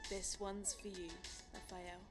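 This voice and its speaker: A woman's voice: mid-range voice, flat delivery, a little slow, over music, close to an everyday voice. An actress playing a playful, sincere young woman radio co-host, chatting and teasing in an audio drama. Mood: tender. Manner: teasing, conversational. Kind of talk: audio drama banter between radio co-hosts.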